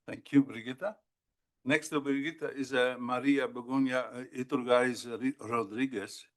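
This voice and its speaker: A man's voice: mid-range voice, fairly even intonation, moderate pace. Distant man moderating a panel. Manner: hesitant, stumbling over names. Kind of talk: introducing panelists.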